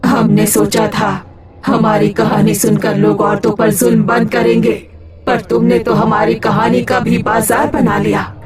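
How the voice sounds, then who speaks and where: A woman's voice: on the high side, little pitch movement, a little slow, close to an everyday voice. A woman voicing a vengeful, defiant ghost of wronged village women, accusing and dramatic, in a horror story. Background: loud music.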